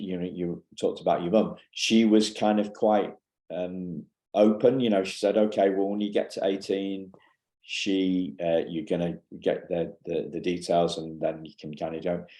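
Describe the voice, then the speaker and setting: A man in his fifties hosting a podcast interview, asking questions in a halting way with many restarts. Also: deep voice, warm tone, roomy sound, low-quality recording. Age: 50s. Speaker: podcast host.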